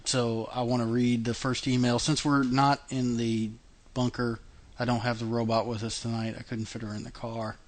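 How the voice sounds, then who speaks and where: A man's voice: medium pitch, level tone, normal speed. A man hosting a podcast in casual chat, chatty with many fillers and restarts, laughing often. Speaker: podcast host.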